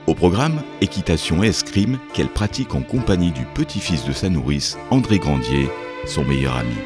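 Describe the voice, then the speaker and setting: An extremely deep male voice narrates a documentary from a script. The man has an accent from France, and music plays clearly behind him. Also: big pitch swings, normal speed. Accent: French from France. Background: music behind the voice-over.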